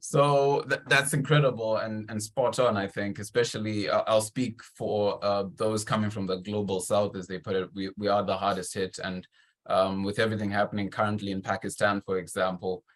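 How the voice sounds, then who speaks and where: A man's voice: lowish voice, flat delivery, normal speed. A man conducting a conversational interview, encouraging and appreciative, with long, wordy questions.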